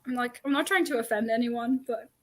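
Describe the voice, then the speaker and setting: A woman co-hosting a book livestream, giving opinions in a halting, conversational way and voicing imagined thoughts. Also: fairly high pitch, fairly bright tone. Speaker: co-host of a book discussion livestream. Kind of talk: casual opinionated chat. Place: online livestream call.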